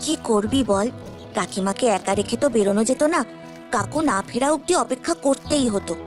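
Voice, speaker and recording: Woman as plucky, high-voiced village child. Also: a little fast, faint music behind, close to an everyday voice. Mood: reassuring. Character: plucky village child, loyal, confident friend of the hero. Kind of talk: Bengali village cartoon dialogue.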